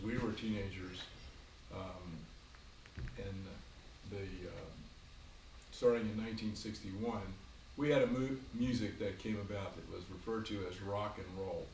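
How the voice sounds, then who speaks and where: Measured older man recalling the past. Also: fairly low pitch, reverberant, distant mic, a little hiss or hum, rough recording. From Virginia, USA. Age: 60s. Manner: measured, explanatory, pauses with um and uh. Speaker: grandfather being interviewed. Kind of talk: family interview, casual recollection. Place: home, beside a fireplace.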